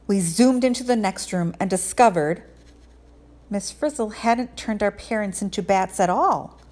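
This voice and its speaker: A woman narrates a children's story as a teacher would in a read-aloud. Her voice sits at a middle pitch, and her delivery is expressive. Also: measured pace.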